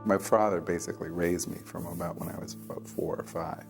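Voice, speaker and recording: A man giving reflective personal testimony in a plain-spoken, halting way, as in a documentary interview. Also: low voice, steady tone, vocal fry, music in the background.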